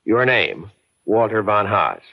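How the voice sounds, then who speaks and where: An actor playing a mild, slightly stammering suburban husband in a 1970s radio drama. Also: male voice, on the low side, big pitch swings, takes it slowly, gravelly, dull audio, full character voice. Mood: measured, puzzled. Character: ordinary suburban husband, mild, puzzled by a letter.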